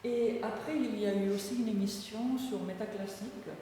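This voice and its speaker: A woman's voice: mid-range voice, steady tone, moderate pace, warm tone, slight background noise. A woman whose first language is Catalan presents her book to an audience in a lecture, hesitant and full of fillers and restarts. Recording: echoey hall from far off.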